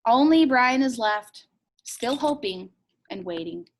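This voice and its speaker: A woman's voice: on the high side, lively intonation, slow. A woman teacher reading a picture book aloud expressively and asking young listeners questions.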